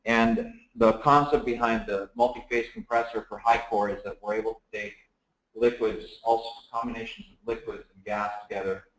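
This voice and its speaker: A man from the Dominican Republic giving a relaxed technical talk at a seminar, with many fillers and restarts. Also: fairly low pitch, moderate pace. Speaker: guest speaker. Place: seminar room, echoey. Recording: echoey room.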